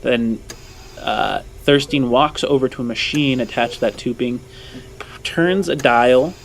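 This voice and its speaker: A man's voice: mid-range voice, a little slow, creaky. A man narrating a tabletop roleplaying game as game master, improvising descriptions and often saying "like". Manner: frequent "like" while describing scenes.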